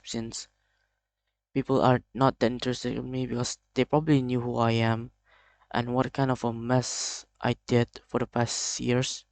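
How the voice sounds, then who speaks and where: Halting young man with Indonesian accent. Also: medium pitch, gravelly, dry recording. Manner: halting, hesitant, many restarts. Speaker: video creator. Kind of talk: confessional vlog.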